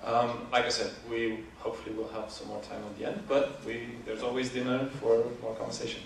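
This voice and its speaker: Flat-voiced man chairing a session. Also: mid-range voice, a little fast, creaky. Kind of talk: conference moderating. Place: large lecture hall. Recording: echoey, distant hall audio with noise.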